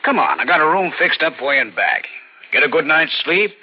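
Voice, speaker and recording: An American actor playing a scheming, menacing crook in an old radio thriller, with a sly, mock-friendly, insinuating way of talking. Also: male voice, a little high, lively intonation, fairly quick, lightly acted. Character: scheming, menacing crook after his uncle's money. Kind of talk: 1940s radio thriller acting. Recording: dull old radio drama recording.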